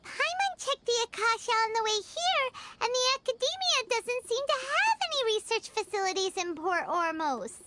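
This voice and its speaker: A woman's voice: very high voice, big pitch swings, normal speed, few pauses, heavy background noise. A woman voicing a small, chatty floating fairy girl companion who talks about herself by name, in a video game. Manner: chirpy, refers to herself by name. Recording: game audio with background music.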